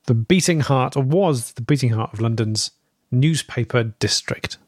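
A man co-hosting a comedy chat, delivering quips in a deadpan way. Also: mid-range voice, normal speed, no echo, studio quality. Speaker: podcast co-host. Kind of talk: comic banter.

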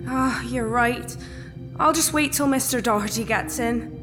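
Brief: an actress as a middle-aged Irish mother, earnest and pleading, high voice, live stage reverb. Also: measured pace, music in the background, natural voice. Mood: trusting. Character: poor middle-aged Irish woman searching for her son.

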